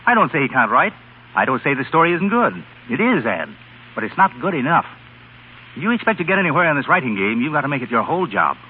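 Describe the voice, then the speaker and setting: An actor playing a frank, genial publishing editor in a brisk, cordial 1930s radio drama style. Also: male voice, a little high, big pitch swings. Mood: frank, advising. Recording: muffled, noisy 1930s radio recording with hum.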